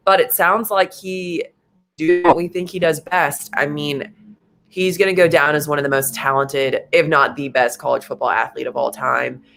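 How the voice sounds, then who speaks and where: A man in his late twenties co-hosting a casual sports talk show, animated and quick in bursts, with many fillers. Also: fairly high pitch, vocal fry. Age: late 20s.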